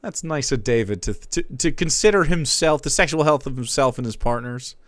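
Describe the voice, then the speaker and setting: A man streamer giving a dramatic reading with wry, deadpan asides. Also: mid-range voice, normal speed, dry recording, clean studio sound.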